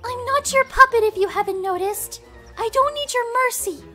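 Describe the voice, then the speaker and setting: A woman playing a gentle, lovesick young female pony with wounded feelings in an audio drama, speaking earnestly and emotionally. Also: extremely high pitch, normal speed, faint music behind. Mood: hurt, angry. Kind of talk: fan audio drama acting.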